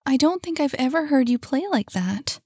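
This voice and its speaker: A woman voicing a high, pleading young heroine. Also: animated, moderate pace, dry recording, studio quality. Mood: tender. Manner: soft, pleading, concerned. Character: gentle, caring young woman, earnest.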